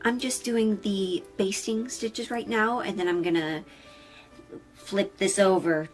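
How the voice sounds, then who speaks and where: A woman's voice: medium pitch, fairly even intonation, takes it slowly, faint music behind. A woman sewing vlogger talking through her project, part narration and part casual chat.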